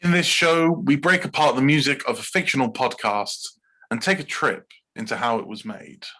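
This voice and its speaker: Bright-voiced English man reading podcast script. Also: medium pitch, moderate pace, poor audio. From Bedfordshire, England. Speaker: podcast guest, composer and podcaster. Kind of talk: scripted podcast narration, read in takes.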